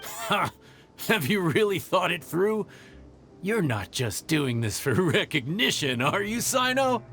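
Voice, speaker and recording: A man's voice: sits high, big pitch swings, slow pace, heavily acted. A man voicing a smug, mocking male smuggler henchman in a video game, with a taunting, sneering delivery. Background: game music.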